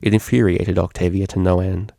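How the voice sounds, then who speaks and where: A man reading a story aloud as scripted, expressive narration. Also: sits low, fairly quick, creaky, no echo, clean studio sound. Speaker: fan fiction reader.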